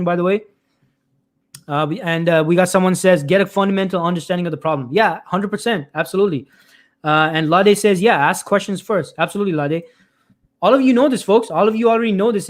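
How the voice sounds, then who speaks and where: A man with a high-pitched voice hosts a weekly career live stream and reads viewers' comments aloud. He talks loosely, restarting and repeating himself. His voice is wearing thin, and he keeps clearing his throat.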